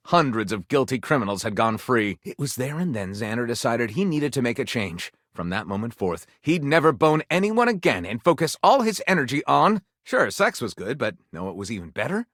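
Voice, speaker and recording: A man's voice: medium pitch, very animated, fairly quick, a bit bright, dry recording, clean studio sound. A man voicing an overwrought game narrator who tells lurid pulp fantasy in a dramatic, suspenseful style. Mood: grandiose. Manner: theatrical, sweeping, suspenseful delivery. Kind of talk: dramatic scripted storytelling.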